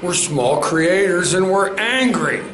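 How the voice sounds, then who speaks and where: Casting: man as angry small creator confronting someone in sketch comedy, high voice, over music. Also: animated, slow, fairly bright tone, full character voice. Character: angry male small video creator protesting. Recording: echoey, clear music behind.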